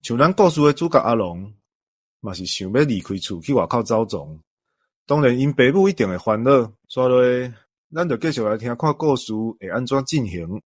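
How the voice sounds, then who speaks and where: A man hosts a story programme with conversational, scripted chat. His Taiwanese-accented voice sits at medium pitch and is recorded dry. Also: animated, moderate pace, no room sound. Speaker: host.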